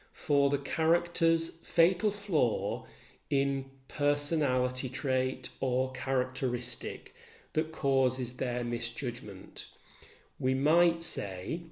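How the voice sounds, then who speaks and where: Slow, deliberate male tutor in echoey room. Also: medium pitch, darkish tone. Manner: deliberate, formal, precise wording. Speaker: English literature tutor. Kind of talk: explanatory lecture with asides. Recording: echoey, roomy sound.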